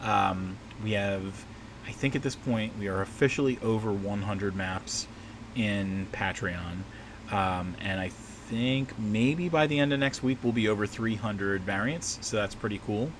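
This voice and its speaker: A man with a low, slightly scratchy voice rambles casually through a livestream, trailing off and restarting his sentences. A steady air-conditioner hum sits clearly under his voice. He sounds like he is at a small desk at home. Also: measured pace. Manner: rambling, relaxed, many fillers and restarts. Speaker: livestream host and map maker. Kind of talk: casual livestream chat while working.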